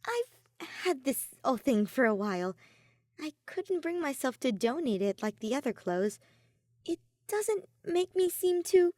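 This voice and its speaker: A woman's voice: high voice, sing-song, measured pace, a little mellow, no echo, clean studio sound, pitched above the performer's own voice. A woman voicing a cheerful, confident young woman swordfighter with a shyly affectionate manner in a narrated story.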